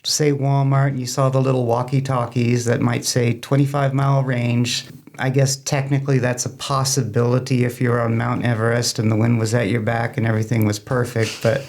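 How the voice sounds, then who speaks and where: American male interview guest, folksy explainer, flat delivery with some vocal fry. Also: medium pitch, fairly quick. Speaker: amateur radio club member, interview guest. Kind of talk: informal explanatory interview talk.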